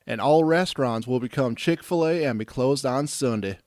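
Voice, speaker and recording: Sanctimonious conservative plotter played by a man, medium pitch, grandiose, very dry sound, comic radio drama. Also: moderate pace, a little mellow, no echo, natural voice. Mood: gloating scheming. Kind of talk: satirical radio drama acting.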